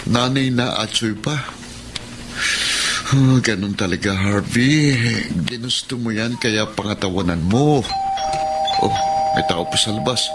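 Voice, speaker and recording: A man's voice: on the low side, measured pace, pauses often, natural voice. Man acting a long-suffering Filipino husband, noisy recording. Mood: weary, resigned. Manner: apologetic, pleading, earnest. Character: patient, long-suffering young househusband, devoted and submissive. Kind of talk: Filipino radio drama acting.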